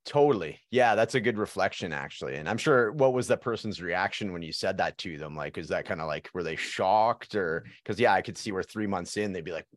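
A man hosting a podcast, chatting casually and warmly, rambling a little with fillers and restarts. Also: sits low, lively intonation, brisk, few pauses, no room sound, clean studio sound. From Canada. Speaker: podcast host. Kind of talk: casual interview chat.

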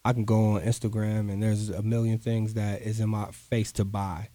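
A man's voice: on the low side, level tone, normal speed, mellow, no echo. A man, talking earnestly in a casual interview, often repeating himself for emphasis. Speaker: guest artist.

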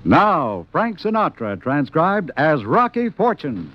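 A man's voice: on the high side, wildly swooping pitch, takes it slowly, over loud music. A man announcing a 1950s radio programme in a scripted introduction. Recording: muffled 1950s radio broadcast.

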